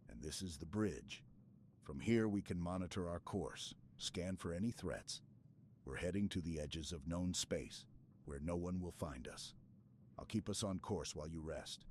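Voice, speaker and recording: Man voicing a very deep, stoic super-soldier. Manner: steady, clipped, reassuring, military. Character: stoic, protective armored male super-soldier, calm commander. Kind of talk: ASMR roleplay, scripted dramatic monologue. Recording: clear background ambience noise.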